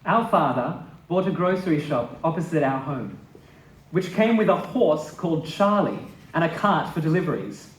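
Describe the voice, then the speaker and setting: A man's voice: fairly high pitch, animated, normal speed, lightly acted. A man giving a dramatic reading as a grocer's child recalling the family shop. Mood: nostalgic. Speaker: stage reader. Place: indoor live literary event. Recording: echoey hall, distant mic, faint hiss.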